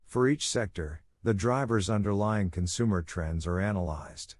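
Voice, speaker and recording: A man reading scripted academic passages aloud in a measured, careful, formal way. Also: on the low side, a little mellow, dry recording, studio quality. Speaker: reader of model read-aloud test passages.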